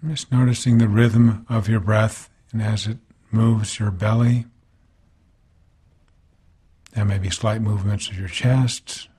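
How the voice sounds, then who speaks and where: A man guiding a body scan meditation as a teacher, speaking calmly in gentle, unhurried phrases with pauses between them. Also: lowish voice, breathy edge.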